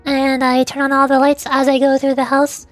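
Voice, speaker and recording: A woman's voice: high voice, monotone, moderate pace, faint music behind. A woman livestreamer chatting casually and playfully in a rambling way while gaming. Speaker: VTuber livestreamer.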